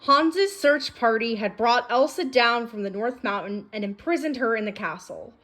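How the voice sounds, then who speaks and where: A woman's voice: on the high side, expressive, normal speed, fairly bright tone. A woman reading a children's storybook aloud as a narrator. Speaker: storybook reader. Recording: faint music behind the voice. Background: music.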